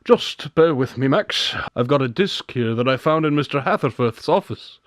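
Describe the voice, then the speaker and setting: A man acting a confident, brisk, slightly pompous private detective in a radio drama, articulate and theatrical. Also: medium pitch, animated, no echo, studio quality, close to an everyday voice. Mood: confident, scheming.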